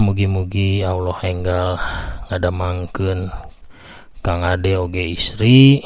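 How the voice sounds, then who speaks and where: Low-voiced Sundanese storyteller man. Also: no echo. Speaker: storyteller and host. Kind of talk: Sundanese storytelling and chatty reading of listener comments. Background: background music.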